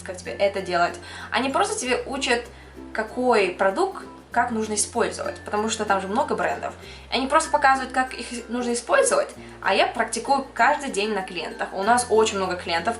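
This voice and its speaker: A young woman from Kyrgyzstan talks easily to camera as a makeup artist answering her viewers' questions. She chats at an ordinary pitch and mixes English words into her speech. Her voice carries a clear room echo, with faint music underneath. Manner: chatty, mixes in English words, laughs often. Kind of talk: casual Q&A chat to camera.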